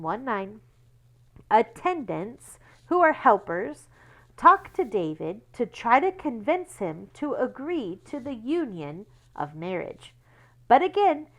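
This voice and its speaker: A woman reading a story aloud to children in a deliberate, explanatory way, pausing to guide young listeners along. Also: medium pitch. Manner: deliberate, explanatory, spells out words and numbers. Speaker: children's Bible school read-aloud reader. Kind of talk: read-aloud storytelling for children with teaching asides.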